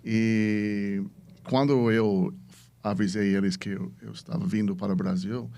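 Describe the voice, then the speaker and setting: A man's voice: fairly low pitch, steady tone, a little slow, gravelly, warm tone. An American man, a native English speaker, talking about his experience in Portuguese as a guest. He is hesitant, searching for words and restarting often. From United States. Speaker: guest, venture capitalist. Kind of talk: casual podcast interview.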